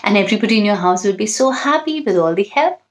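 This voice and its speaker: A woman's voice: medium pitch, lively intonation, fast. A woman hosting a children's storytime, reading expressively and chatting directly to young listeners.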